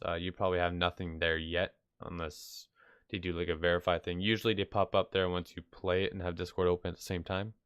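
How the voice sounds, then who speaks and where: A man hosts a casual how-to video in a deep, clean voice, slightly nasal with a head cold. He rambles and hesitates in an offhand way. The sound is dry and close on the microphone. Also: a bit bright, smooth, dry recording. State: sick with stuffy nose. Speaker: video tutorial host. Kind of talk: casual unscripted software tutorial. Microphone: close mic, screen-recording voice-over.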